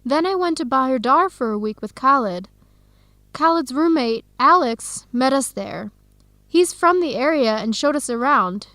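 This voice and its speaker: An actress playing an earnest young Ethiopian-student in a radio drama. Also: female voice, sits high, lively intonation, unhurried, bright, forceful tone, no echo, exaggerated voice. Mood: explaining, defensive. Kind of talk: radio drama acting, simulated video call.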